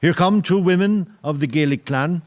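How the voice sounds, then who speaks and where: A man playing the solemn narrator of a historical audio play, with measured, literary, grave delivery. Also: a little high, lightly acted. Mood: wondering. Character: solemn storyteller narrator of old sea tale. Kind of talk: scripted dramatic narration.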